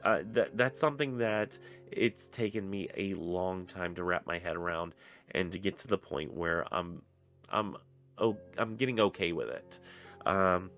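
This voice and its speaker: Deep-voiced man with a stuffy nose. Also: a little slow, a bit bright, faint music behind. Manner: rambling, many fillers and restarts. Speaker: book reviewer on a pagan channel. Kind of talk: casual spoken book review.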